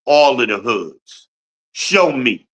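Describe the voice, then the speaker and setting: A man's voice: medium pitch, sing-song, slow pace, fairly bright tone, slightly rough. A man in his fifties from Newark, New Jersey, hosting a podcast livestream with a boastful, blunt, profane rant. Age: 50s. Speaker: podcast host.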